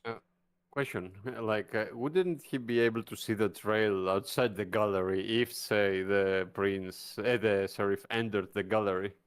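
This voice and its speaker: A man's voice: fairly low pitch, steady tone, unhurried, clean tone. A man chatting casually as a player in a tabletop roleplaying game.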